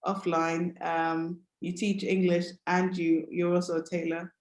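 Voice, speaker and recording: Lower-pitched woman host, enthusiastic and gushing, in a reverberant room. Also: flat delivery, a little slow. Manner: enthusiastic, effusive praise. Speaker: interview host. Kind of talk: upbeat interview hosting.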